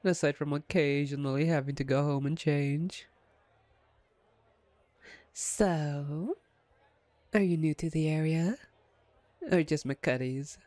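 A woman playing a playful, smug, flirtatious female vampire captor in an ASMR roleplay, with a teasing, wry, unhurried manner. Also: deep voice, a little mellow, no echo. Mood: wry, chatty.

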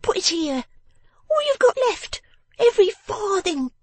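Shrill, bossy little scold. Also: female voice, big pitch swings, measured pace, dry recording, exaggerated voice. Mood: snapping, scolding. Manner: emphatic, snapping, imperious. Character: sharp-tongued, bossy, vengeful young woman, scolding like a shrew. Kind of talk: dramatised storybook reading.

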